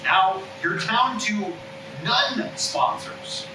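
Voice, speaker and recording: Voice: medium pitch, expressive, drawn-out words. A performer reading an exasperated, blunt man breaking bad news by phone in a comedy table read, sarcastic and stammering. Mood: incredulous, sarcastic. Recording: roomy, distant mic with loud background noise.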